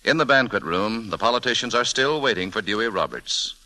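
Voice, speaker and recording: A man's voice: lowish voice, expressive, a little fast, sharp tone, poor audio. An American man announcing a 1940s radio drama in a formal, theatrical manner. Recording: old radio broadcast recording. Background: faint music.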